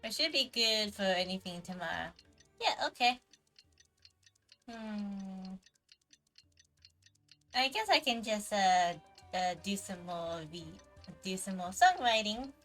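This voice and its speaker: A woman's voice: medium pitch, lively intonation, slow, long pauses, a bit bright, soft background music. A woman livestreaming a game, chatting casually and thinking aloud in a hesitant murmur. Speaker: game streamer. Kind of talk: casual livestream chat while gaming.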